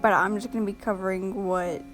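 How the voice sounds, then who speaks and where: A woman recording an early-morning voiceover for a drama commentary video, sounding tired and a little raspy, blunt and exasperated in a casual way with lots of fillers. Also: medium pitch, steady tone, fairly quick, music in the background. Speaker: commentary YouTuber. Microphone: home voiceover mic.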